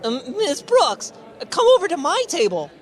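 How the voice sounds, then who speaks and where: A woman's voice: sits high, huge pitch swings, moderate pace. A woman playing an eager, gossipy teenage schoolboy in a radio comedy, with hesitant starts and exclamations. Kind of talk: old-time radio comedy acting.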